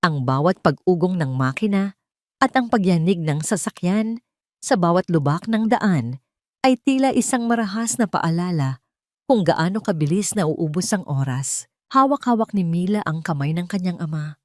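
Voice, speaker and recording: A Filipino woman narrates a scripted drama in a fairly low voice. Her delivery is solemn and theatrical, as in an audio storybook. The sound is very dry, with no room echo. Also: a little mellow.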